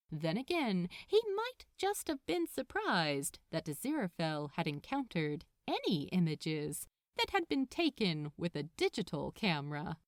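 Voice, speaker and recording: A woman reading fiction aloud as a narrator, in an expressive storytelling style. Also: fairly low pitch, no echo, clean studio sound. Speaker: podfic narrator.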